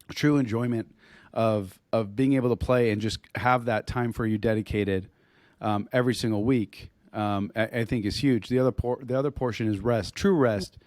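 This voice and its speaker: A man co-hosting a podcast in casual chat, his voice hoarse as he loses it, talking in a rambling way with restarts and throat clearing. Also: medium pitch, a little mellow, no echo. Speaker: podcast co-host.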